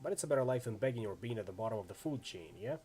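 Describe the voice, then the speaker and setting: A man's voice: on the low side, expressive, racing, dark tone, breathy edge, voice pushed down. A man voicing a friendly, easygoing orc swordsman in a video game, chatty and full of laid-back street slang. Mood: matter-of-fact, casual. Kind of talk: acted reading of role-playing game dialogue.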